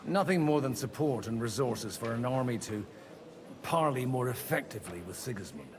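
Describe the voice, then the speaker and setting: An actor playing a courteous, direct young medieval envoy in a video game, earnest in manner. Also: male voice, medium pitch, lively intonation, normal speed, crowd noise, natural voice. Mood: persuasive. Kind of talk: video game dialogue acting.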